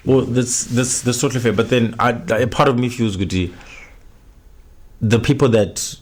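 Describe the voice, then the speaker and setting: A man's voice: mid-range voice, moderate pace. A young man, hosting a casual podcast chat and rambling through his points, slipping into Shona phrases. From Zimbabwe. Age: young adult. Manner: rambling, restarts, switches into Shona mid-sentence. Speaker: podcast host.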